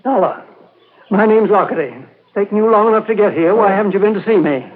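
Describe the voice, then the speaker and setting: A man's voice: high voice, animated, fast talker, a little hiss or hum. An actor playing a cantankerous old American man, curt and domineering, in a 1950s radio drama with tinny sound. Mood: impatient, demanding. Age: older. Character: cantankerous, crooked rich old man of seventy-four, fearful. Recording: tinny narrow-band old radio recording.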